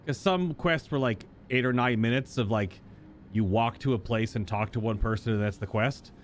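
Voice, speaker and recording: A man streaming a game with casual live commentary, thinking aloud in a drawn-out, emphatic way. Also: mid-range voice, slight background noise.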